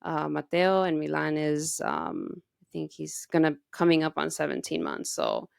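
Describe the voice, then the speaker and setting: A woman's voice: low-pitched, animated, normal speed, creaky, dry recording, clean studio sound. A woman in her thirties from the United States, raised in Texas, chatting casually as a podcast host in informal speech peppered with "like". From United States, grew up in Texas. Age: 30s. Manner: informal, heavy use of "like". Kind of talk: casual conversational podcast chat.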